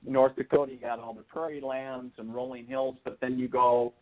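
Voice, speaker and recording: American man, mid-pitched, casual rambling interview chat, joking, thin phone-like sound. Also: little pitch movement, moderate pace. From northern Minnesota, United States. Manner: joking, rambling, repeats "you know". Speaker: guest psychic medium.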